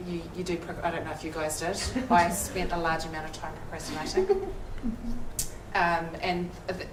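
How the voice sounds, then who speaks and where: A woman with a lowish voice gives advice from a panel, rambling and restarting often. The sound is distant and roomy, with audible background noise. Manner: hesitant, rambling, many restarts. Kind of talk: panel discussion answer. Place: lecture room at an evening event. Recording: roomy hall from afar with noise.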